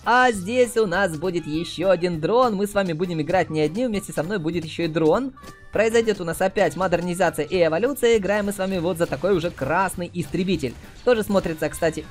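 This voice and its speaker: A man hosting a mobile game playthrough, giving chatty, casual commentary addressed to his viewers. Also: high-pitched. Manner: chatty, uses cute diminutives.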